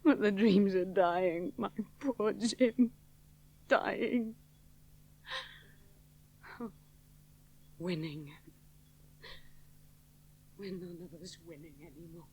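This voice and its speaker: An actress playing a woman aviator worn down by debt and a failing marriage, speaking in a brittle, sharp-tongued way that sinks into quiet despair. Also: lowish voice, takes it slowly, pauses often, slight background noise. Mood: grieving. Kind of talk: 1970s radio drama acting.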